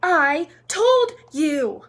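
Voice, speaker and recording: A woman's voice: high-pitched, very animated, extremely slow, a bit bright, pitched above the performer's own voice, heavily acted. A woman voicing a bossy, exasperated young schoolgirl witch with a scolding, emphatic delivery in a storybook reading. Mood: gasping, indignant.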